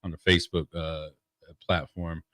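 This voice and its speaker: A man with a low, bass-heavy voice and an easygoing manner, rambling through his stories as a comedian guest on the radio. The sound is dry and close, with no room around it. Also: little pitch movement, normal speed, sharp tone, dry recording. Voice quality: deep bass voice. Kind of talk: casual radio interview. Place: radio studio.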